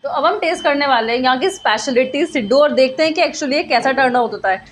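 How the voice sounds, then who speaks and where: A young woman with a high, bright speaking voice hosts a casual food review, slowing down as she savours each dish. She talks to a phone held at arm's length inside a small cafe, with faint background noise. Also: lively intonation. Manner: chatty, mixes Hindi and English, slow drawn-out tasting remarks. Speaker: food vlogger. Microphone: handheld phone camera at arm's length.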